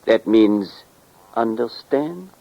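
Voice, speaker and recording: Sly, low-voiced French crook, creaky. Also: male voice, animated, lo-fi sound, exaggerated voice. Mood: quietly threatening. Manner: formal, deliberate, broken English. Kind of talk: 1940s radio crime drama acting. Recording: vintage 1940s radio recording.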